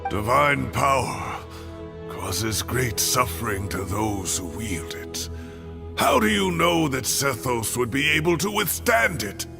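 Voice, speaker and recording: A man voicing a weary but protective old professor in a video game, with a gravelly voice and a halting, earnest delivery. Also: mid-range voice, lively intonation, music in the background. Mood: protective, firm. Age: older. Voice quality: gravelly, creaky. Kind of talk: dramatic video game voice acting.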